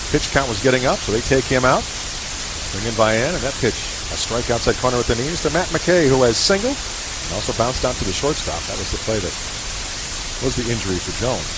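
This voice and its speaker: A man calling live baseball play-by-play on the radio in clipped phrases that follow the action. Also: medium pitch. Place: live baseball game broadcast. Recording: hissy, static-laden radio broadcast recording.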